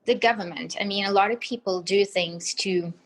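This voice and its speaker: A woman's voice: mid-range voice, moderate pace. A woman from Trinidad and Tobago with a light Trinidadian accent, a podcast guest who answers questions thoughtfully and with some hesitation. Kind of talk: casual interview answers.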